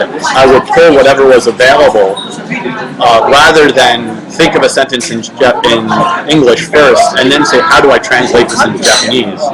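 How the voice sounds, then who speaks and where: Rambling young man over loud crowd noise. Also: medium pitch, low-quality recording. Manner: loose and rambling, many filler words and restarts. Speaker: livestream host answering viewer questions. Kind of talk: casual chat. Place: home webcam livestream.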